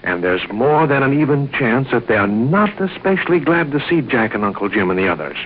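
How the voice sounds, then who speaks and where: A man's voice: mid-range voice, big pitch swings, brisk. A man narrating an old-time radio adventure serial as its announcer, with a suspenseful scripted delivery. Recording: tinny old radio, faint noise.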